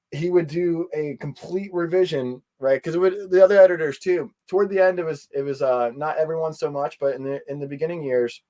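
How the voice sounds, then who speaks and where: A man's voice: on the high side, a little mellow. Casual, rambling man in an interview. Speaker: interview guest.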